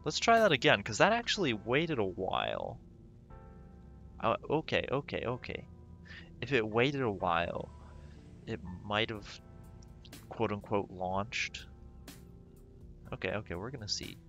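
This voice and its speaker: A man streaming a game, rambling casually and thinking aloud with lots of fillers, his voice going raspy. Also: on the low side, wildly swooping pitch, a little slow, pauses often, fairly bright tone, lo-fi sound, faint music behind. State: voice getting raspy. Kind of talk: casual gameplay livestream commentary.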